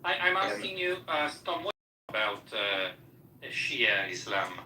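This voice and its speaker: Insistent man, bright, roomy and distant. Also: medium pitch, big pitch swings, measured pace. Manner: insistent, accusatory, repeats demands. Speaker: debate participant. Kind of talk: heated online debate. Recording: echoey room, distant from mic, faint noise.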